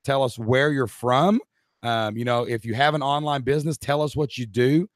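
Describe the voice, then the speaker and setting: Man, hoarse, upbeat live-stream host, dry close sound. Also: medium pitch, steady tone, moderate pace, dry recording. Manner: upbeat, addresses the chat audience directly.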